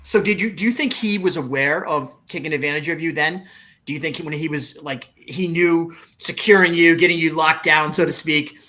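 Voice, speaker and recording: A man hosting a podcast interview, energetic and prone to interrupting and repeating himself. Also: on the high side, normal speed. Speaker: host.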